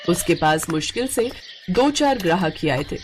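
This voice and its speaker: Expressive woman narrating a story. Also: lowish voice, moderate pace, a little hiss or hum. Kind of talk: expressive scripted children's storytelling.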